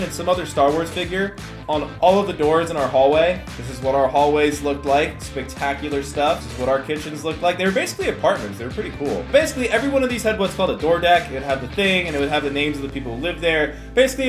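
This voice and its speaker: A young man with a Californian American accent telling stories to viewers in a casual, animated, slangy and self-mocking way. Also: fairly high pitch. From California, United States. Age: young adult. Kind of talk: casual storytime chat to viewers. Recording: echoey room with music bed. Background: background music with guitar.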